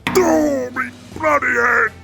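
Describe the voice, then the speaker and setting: A performer voicing a brutish, blustering villain hunter in a comic fan dub, gravelly and threatening. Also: high-pitched, takes it slowly, full character voice. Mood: pained grumbling. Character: brutish villain hunter, menacing, blustering male beast rider. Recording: loud music behind the voice.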